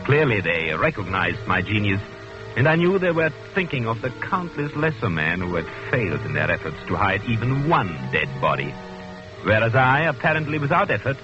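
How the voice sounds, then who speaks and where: A pompous, self-satisfied convict, swooping delivery. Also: male voice, on the low side, very animated, moderate pace. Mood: smug, self-admiring. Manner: pompous, condescending, precise formal diction. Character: arrogant, self-satisfied murderer convict of high intellect. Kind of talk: 1940s radio acting. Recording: dull old radio recording, music behind.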